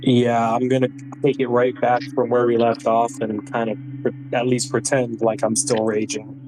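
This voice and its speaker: A man's voice: medium pitch, little pitch movement, normal speed, background noise. A man chatting casually as a player in a tabletop role-playing game.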